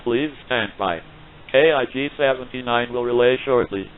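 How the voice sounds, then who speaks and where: A man's voice: medium pitch, moderate pace. A man giving a brief scripted standby announcement as a radio relay station announcer. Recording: thin phone-like radio relay sound.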